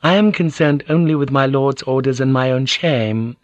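A man's voice: mid-range voice, normal speed, no echo, dull audio, natural voice. A man voicing a proud noble samurai lady in an audiobook, formal, courteous and commanding. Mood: formal, resolute.

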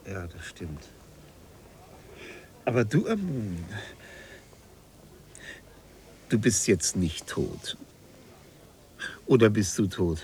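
An actor playing a polite, bewildered bookseller in a radio play, halting and puzzled as he struggles to follow. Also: fairly low pitch, big pitch swings, darkish tone, slight background noise. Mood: dazed, resigned. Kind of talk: German radio play acting.

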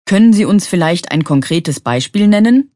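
An actress playing a polite radio show moderator, speaking in a formal, scripted way. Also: female voice, fairly low pitch, expressive, normal speed, warm tone, dry recording, studio quality, natural voice. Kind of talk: scripted exam dialogue.

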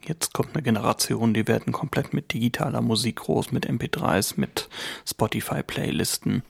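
A man's voice: mid-range voice, normal speed, creaky, slight background noise. A man being interviewed, speaking reflectively in his own words. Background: music.

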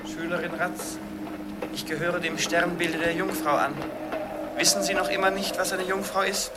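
Through heavy noise comes a man acting an insufferable schoolmaster in an old radio play. He is high-pitched and rather even in tone, pompous and pedantic in manner. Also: normal speed, natural voice. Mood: pedantic, reproachful. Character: insufferable pompous male schoolmaster lecturing a pupil. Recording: very noisy old radio recording.

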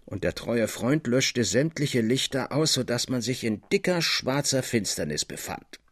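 Male narrator for literary radio drama, mid-range and slightly rough voice, musical underscore. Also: medium pitch, big pitch swings, a little slow.

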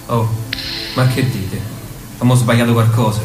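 A man's voice: medium pitch, fairly even intonation, normal speed, lightly acted. An actor in a radio play as a blunt, argumentative man with a Roman Italian accent. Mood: questioning. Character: argumentative, blunt man of a band of dead travellers. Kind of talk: radio theatre acting. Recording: echoey distant mic, loud music over it.

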